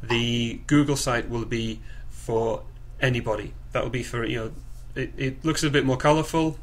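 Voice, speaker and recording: A man informally presenting to a group on a conference call, haltingly and with repeated words and phrases. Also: mid-range voice, steady tone. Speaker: project coordinator leading a group call. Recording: clearly noisy conference call recording.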